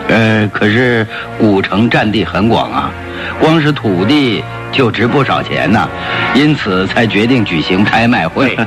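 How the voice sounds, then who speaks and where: An older aristocratic gentleman in a radio play, with a fairly low voice over background music. He is gentle and well-mannered, often pausing on small polite hesitations. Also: lively intonation. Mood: hesitant, apologetic. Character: gentle, courteous grey-haired aristocratic marquis, a father. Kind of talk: radio drama acting.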